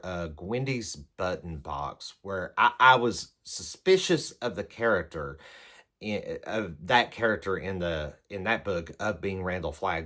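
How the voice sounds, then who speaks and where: Very deep-voiced man chatting about books. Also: a bit bright. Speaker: book reviewer vlogger. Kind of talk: casual chat to camera, answering numbered prompts.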